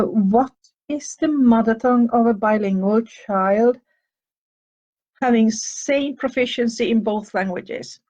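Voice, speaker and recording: A woman's voice: fairly high pitch, a little mellow, lo-fi sound. An older woman from with a slight cough, hosting a show and answering listener questions in a thoughtful, hesitant way with many fillers. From Finland. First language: Finnish and Swedish. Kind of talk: advice talk answering questions.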